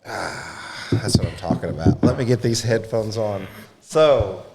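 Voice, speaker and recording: Deep-voiced man hosting a casual podcast. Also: animated, a little slow, a crowd in the background. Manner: jokey, folksy and informal. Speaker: podcast host. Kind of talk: casual interview banter.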